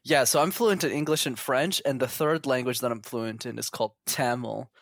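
A man's voice: mid-range voice, moderate pace, gravelly, fairly bright tone, no room sound, clean studio sound. A man telling his story as an interview guest in a candid, chatty, casual way. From Montreal, Canada.

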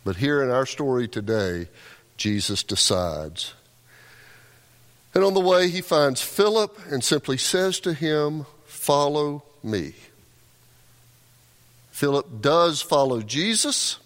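A man's voice: mid-range voice, lively intonation, takes it slowly, pauses often, fairly bright tone. A male pastor delivering a conversational sermon, often repeating words as he restarts a thought. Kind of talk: sermon with scripture reading. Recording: long hall reverb.

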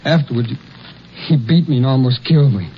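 An actor playing a terrified young logging hand in a 1950s western radio drama, pleading and stammering in panic. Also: male voice, mid-range voice, lively intonation, a little fast, slightly rough. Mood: shaken, confessing. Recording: dull, faintly noisy old radio recording.

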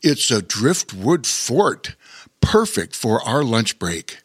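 A man voicing a huge, kindly male bear in a storybook, speaking calmly and gently. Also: medium pitch, takes it slowly, husky, no room sound, studio quality, heavily acted. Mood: gentle. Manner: calm, warm-hearted.

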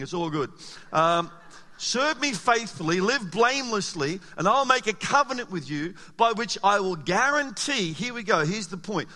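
A man preaching a sermon as pastor, animated and emphatic, asking the congregation rhetorical questions, his voice a little raspy. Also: high-pitched. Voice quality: slightly raspy. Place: church hall. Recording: long hall reverb.

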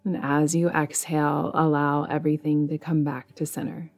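Female meditation guide, low register, soothing and measured, gentle music underneath. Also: level tone. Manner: soothing, measured delivery with long pauses. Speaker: meditation guide, podcast host.

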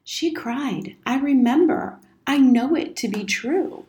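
A woman reads the part of a grieving storybook boy, earnest and stubborn. He speaks plainly and firmly, with a clear room echo around the voice. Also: high voice, slow, a little mellow, pitched above the performer's own voice. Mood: insistent. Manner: earnest, firm, plain-spoken. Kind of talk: storybook character reading. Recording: roomy, echoing indoor sound.

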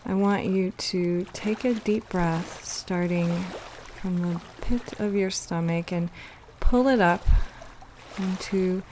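A woman leading a guided meditation, giving soothing, unhurried instructions with pauses. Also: fairly low pitch, fairly even intonation, darkish tone, a little hiss or hum.

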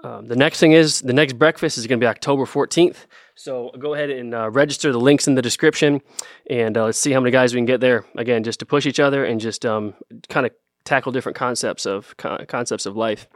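A man's voice: medium pitch, quick pace, dry recording. Ohio guy hosting a chat, rambly and friendly, dry close sound. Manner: friendly, rambling, restarts often. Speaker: podcast host. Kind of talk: casual conversation.

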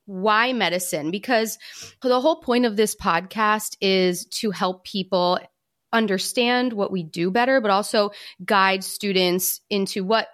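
A woman's voice: medium pitch, unhurried, fairly bright tone. A woman hosting a podcast and interviewing a guest, talking in an upbeat, friendly way. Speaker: podcast host.

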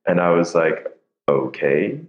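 A man's voice: low voice, lively intonation, a little slow, roomy sound, phone-quality sound. A man from Nigeria and the Bahamas, a podcast guest telling his story casually, self-deprecating and laughing easily, often repeating words as he starts. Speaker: podcast guest, tech founder.

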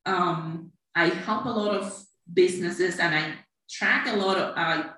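A woman's voice: on the low side, a little slow, bright, forceful tone. A middle-aged woman from Cuba, chatting about her career as an accountant with many fillers. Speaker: accountant guest. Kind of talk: conversational interview. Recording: echoey, distant from mic.

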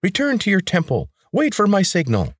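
High grating tyrant, ruthless and commanding, audiobook villain. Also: male voice, huge pitch swings, normal speed, breathy edge, no echo, studio quality, heavily acted. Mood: menacing. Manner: commanding, menacing. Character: high grating rebel leader, male, ruthless.

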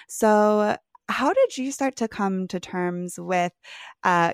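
A woman's voice: medium pitch, creaky, dry recording, clean studio sound. A woman hosting a podcast, in earnest, open conversation with a guest, stretching her words for emphasis. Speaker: podcast host. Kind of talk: candid interview chat.

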